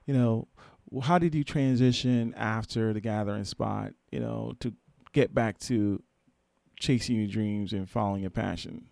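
Gravelly, mellow American interviewer, a man. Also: on the low side, normal speed, no echo, studio quality. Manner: informal, attentive, interjecting short responses. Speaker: interviewer, host. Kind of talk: casual interview.

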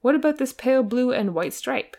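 A woman voicing a suave, mysterious male valet in a storybook reading, smooth, quiet and politely firm. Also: high-pitched, expressive, fairly quick, pitched above the performer's own voice. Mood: smooth, superior.

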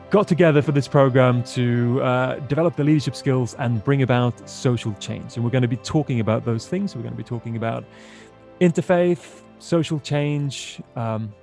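A man's voice: medium pitch, vocal fry, a little mellow. A man hosting a podcast and introducing a group of students, friendly and lightly joking. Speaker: podcast host.

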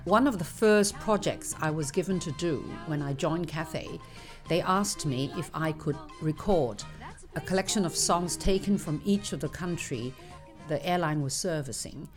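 Older lady, deep-ish voice, telling old stories and laughing, bit of quiet music under it. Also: moderate pace. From Hong Kong. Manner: anecdotal, laughs between stories. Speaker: singer interviewed as guest. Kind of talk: reminiscing interview.

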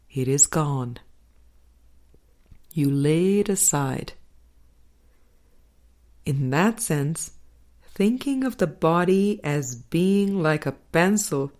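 A woman narrating a spiritual audiobook, reading scripted text in measured, deliberate phrases. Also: on the low side, darkish tone. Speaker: audiobook reader. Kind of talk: scripted spiritual book narration, read aloud.

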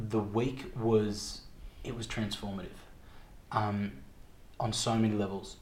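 A young Australian man speaks in a fairly low voice as an interview guest. He tells his story casually and reflectively, often pausing to find words. The room is echoey, with faint background noise. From Victoria, Australia. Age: 20s.

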